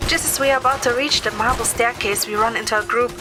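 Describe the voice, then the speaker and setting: Higher-pitched woman narrating a story. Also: steady tone, fast. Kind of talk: scripted first-person story narration. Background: music.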